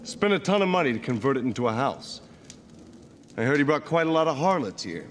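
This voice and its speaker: An actor playing a brash, talkative young adventurer in a video game, wry and sometimes stammering. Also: male voice, mid-range voice, very animated, brisk, slight background noise, lightly acted. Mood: wry, chatty. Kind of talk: dramatic video game voice acting.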